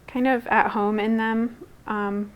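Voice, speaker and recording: A woman's voice: a little high, level tone, slow pace, dull audio, a little hiss or hum. A young woman textile artist from Guatemala whose first language was Spanish, speaking casually in an interview, with lots of fillers and restarts. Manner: rambling, many fillers and restarts.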